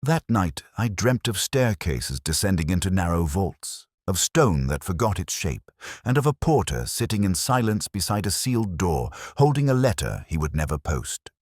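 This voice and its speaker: A man playing a brooding, erudite middle-aged detective who narrates a mystery in measured, literary phrases. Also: deep voice, very animated, no echo, studio quality. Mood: brooding, reflective. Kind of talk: scripted first-person mystery narration, audio drama.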